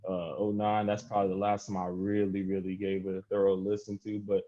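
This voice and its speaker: A man's voice: low-pitched, monotone, fairly quick, warm tone. A man hosting a podcast in a casual, rambling, slangy way as he leads the discussion. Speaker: podcast host. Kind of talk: casual hosting, introducing topics. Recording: dull lo-fi audio.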